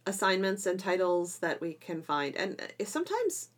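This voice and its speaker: A woman hosting a casual educational podcast, chatting in an animated way and quick to laugh. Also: lowish voice. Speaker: podcast host and homeschool teacher.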